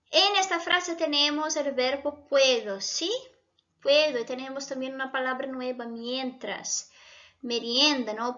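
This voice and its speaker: Brazilian woman, native Portuguese speaker, Spanish teacher; high, bright voice; slightly dull lo-fi recording. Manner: explanatory, switching between Spanish and Portuguese, asks viewers questions. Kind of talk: online language lesson.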